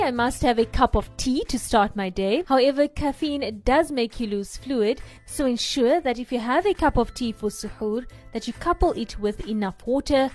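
A woman's voice: on the high side, darkish tone. A woman with a South African accent, a radio presenter reading scripted lifestyle tips. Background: music.

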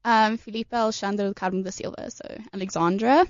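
An eighteen-year-old woman with a slightly raspy voice, chatting as a guest in a radio studio, giggly and self-deprecating. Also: mid-range voice, steady tone, a little fast, no room sound. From South Africa, of Portuguese family. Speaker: guest singer. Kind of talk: casual radio chat. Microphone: studio microphone. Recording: dull, dry studio sound.

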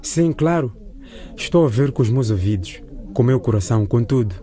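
A man's voice: medium pitch, lively intonation, brisk, mellow, lightly acted. A man playing an eager, earnest, lovestruck teenage schoolboy in a radio drama. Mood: eager, tender.

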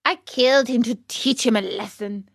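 A woman voicing a sly, cruel male cat who feigns blindness in a storybook, with drawn-out, self-justifying speech. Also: fairly high pitch, vocal fry, dry recording, clean studio sound. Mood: cold, smug.

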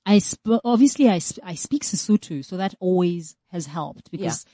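Low mellow-voiced woman, reflective. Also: lively intonation, moderate pace, no room sound. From South Africa. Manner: thoughtful, reflective, laughs easily. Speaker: guest. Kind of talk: casual chat.

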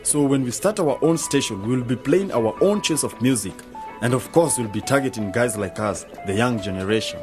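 A man's voice: medium pitch, animated, a little fast, music in the background, lightly acted. A man playing a practical, matter-of-fact young man in a radio drama. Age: young adult.

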